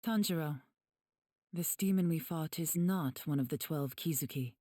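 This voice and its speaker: A woman voicing a calm, gentle, refined demon healer in an anime game, soft and breathy, speaking in a measured, formal way. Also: sits low, no echo, clean studio sound. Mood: solemn, calm.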